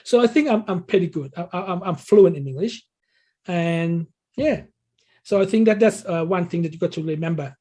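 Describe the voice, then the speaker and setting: A man's voice: sits high, moderate pace, dark tone. A man in his sixties from Thailand, a native Thai speaker, answering interview questions conversationally and explaining things as a coach would. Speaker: interview guest, English learning coach.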